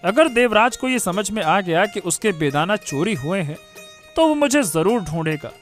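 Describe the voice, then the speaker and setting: A man's voice: high voice, expressive, normal speed, faint music behind. A man playing a doting, guilt-ridden poor village father in a cartoon moral story, tender and fretful. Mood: guilty, worried. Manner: tender, fretful, musing aloud.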